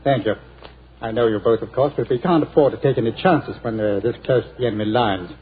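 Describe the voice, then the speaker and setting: An actor playing a polite, earnest young army captain in a 1940s radio drama, courteous and officious. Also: male voice, medium pitch, fast, close to an everyday voice. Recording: thin tinny old radio transfer.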